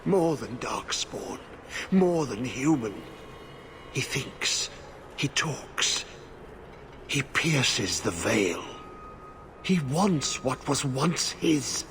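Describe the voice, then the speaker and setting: An actor playing a mad, half-dead corrupted old warden in a video game, speaking in cryptic, broken phrases. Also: male voice, mid-range voice, big pitch swings, extremely slow, long pauses, a bit bright, a little hiss or hum, heavily acted. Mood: ominous.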